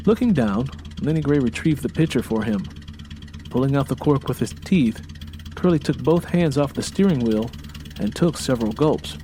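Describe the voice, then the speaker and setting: An American man narrating a dramatic story from a script in a deliberate, expressive way. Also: mid-range voice, slight background noise. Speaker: audiobook narrator. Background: faint music bed.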